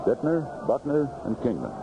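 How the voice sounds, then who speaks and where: A noisy, dull old radio broadcast carries an American man calling baseball. His pitch swings in a lively way as he narrates each play in clipped, unhurried phrases, in the manner of a 1970s play-by-play announcer. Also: vocal fry. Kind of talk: live radio sports commentary, 1970s. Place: outdoor ballpark broadcast booth.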